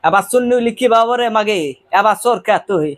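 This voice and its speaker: A man acts out an old village grandmother with a high-pitched voice. She speaks in rural Bangladeshi dialect, bossy and blunt, nagging and cursing as she accuses people in an improvised prank call. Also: a little fast, a bit bright. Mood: accusing.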